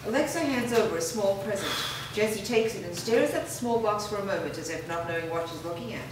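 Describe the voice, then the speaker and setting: A woman's voice: medium pitch, animated, quick pace. A woman reading scripted stage directions as the narrator of a staged reading. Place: live reading in a reverberant room. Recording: echoey noisy room, distant microphone.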